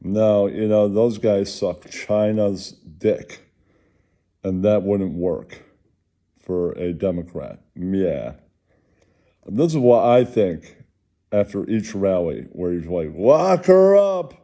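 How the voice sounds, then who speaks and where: Male host, low-pitched and very dark in tone, halting delivery, casual rambling commentary.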